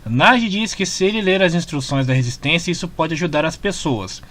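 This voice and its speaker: A man's voice: a little high, moderate pace, fairly bright tone. A man with a Brazilian accent reading a scripted retelling of a story as its narrator. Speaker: narrator of comic story summaries. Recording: music clearly playing behind the voice. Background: background music.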